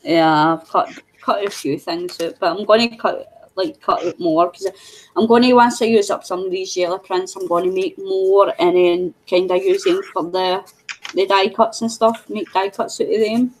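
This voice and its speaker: A chatty woman from New Zealand rambles through her craft project in a middle-pitched voice. She trails off and restarts often. Faint noise runs beneath. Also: moderate pace, poor audio. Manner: chatty, rambling, full of fillers. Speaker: craft channel co-host. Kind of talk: casual craft chat.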